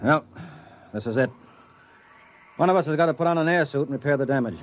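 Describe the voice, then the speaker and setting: An actor playing a gruff, determined space explorer who narrates and gives orders in a decisive way, in 1950s radio drama style. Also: male voice, on the high side, quick pace, background noise, close to an everyday voice. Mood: grim. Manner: decisive, commanding. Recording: dull old radio recording, loud music.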